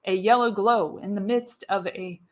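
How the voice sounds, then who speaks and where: Female narrator, mid-pitched, scripted live reading, dramatic storytelling emphasis, radio play. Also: fairly even intonation, normal speed. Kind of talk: scripted narration, live read of screenplay action.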